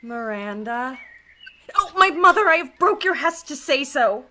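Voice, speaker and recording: Radio play: female actor as sheltered, tender young maiden, slow, bright, pleading. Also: sits high, animated, a little hiss or hum, full character voice. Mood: shy candour. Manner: earnest, pleading. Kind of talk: radio play acting of Shakespeare.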